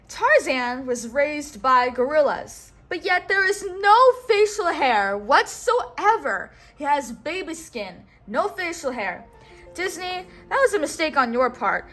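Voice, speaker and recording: A woman reading a storybook aloud to a child in an expressive, instructional way, pausing on words to be repeated. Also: high voice, soft background music. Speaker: storybook read-aloud host. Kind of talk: children's read-aloud narration with call-and-response prompts.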